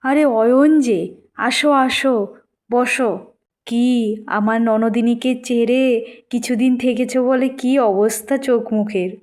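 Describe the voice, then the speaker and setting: A woman performs a young cartoon sister-in-law. She is polite and familiar, mildly teasing, with a somewhat high voice. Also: steady tone, measured pace, pauses often, a little mellow, natural voice.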